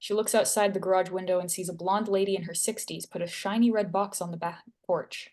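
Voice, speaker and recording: A woman's voice: on the low side, fairly even intonation, brisk, a little mellow. A woman reading stage directions and scene headings as narrator at a screenplay table read.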